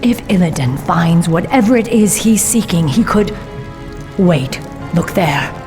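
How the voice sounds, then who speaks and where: A resolute elf huntress leader for a fantasy game. Her voice is fairly low, solemn and commanding, with clear music playing behind it. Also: animated, measured pace. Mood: thoughtful. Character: stern, determined female night elf warden leader. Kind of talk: video game fantasy drama acting.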